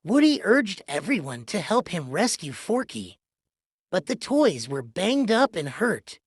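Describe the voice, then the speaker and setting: A woman's voice: lowish voice, sing-song, unhurried, slightly rough, dry recording, studio quality. A woman narrating a children's read-along storybook in a scripted storytelling style. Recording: music behind the voice. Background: music.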